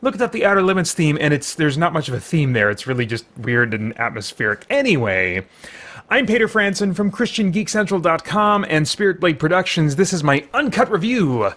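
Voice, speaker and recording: A man hosting a casual, unscripted film review in a halting, rambling, self-correcting way. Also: a little high, sing-song, a bit bright.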